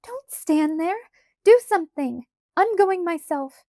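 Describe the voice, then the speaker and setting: A woman's voice: very high-pitched, animated, slow pace, mellow, no room sound, clean studio sound, pitched above the performer's own voice, exaggerated voice. A woman voicing a scared young girl in an audiobook, upset and urgent, with a screaming, pleading delivery. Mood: upset, demanding. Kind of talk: audiobook character voice.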